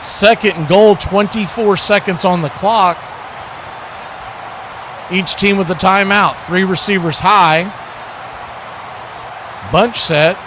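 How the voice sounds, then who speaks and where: Male sports commentator, pitched a bit high, conversational live football calls and analysis, recorded over noticeable ambient noise. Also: steady tone, takes it slowly, pauses often. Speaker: play-by-play commentator hosting a watch party stream.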